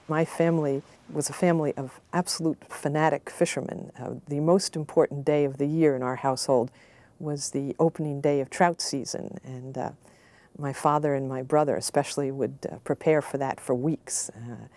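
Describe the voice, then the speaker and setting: A woman speaking reflectively and in a measured way, as in a personal reading or reminiscence. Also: sits low, dark tone. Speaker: poetry reader and research analyst. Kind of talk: personal reminiscence and poem recitation.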